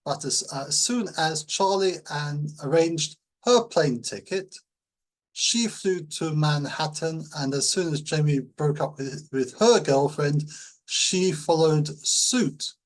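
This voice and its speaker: A man teaching in an informal tutorial, explaining things in a halting, hesitant way. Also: fairly high pitch, rough recording. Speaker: language-learning teacher.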